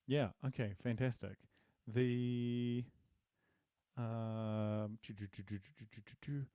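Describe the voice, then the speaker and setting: A man answers programming questions on a live stream in a halting, thinking-aloud way, full of ums and self-corrections. His voice is dark and mellow with a gravelly edge. He is self-deprecating and friendly, and the sound is close and dry. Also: lowish voice, no echo. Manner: halting, many fillers and restarts, thinking aloud. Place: home desk, dim room.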